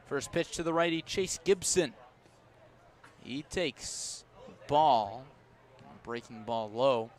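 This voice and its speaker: An American man doing live play-by-play baseball commentary for radio, measured between pitches and lifting on plays. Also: lively intonation. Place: outdoor ballpark broadcast.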